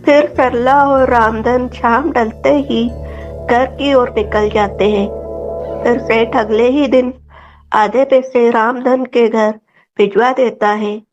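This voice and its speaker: A woman's voice: on the high side, lo-fi sound. A woman narrating a scripted children's story.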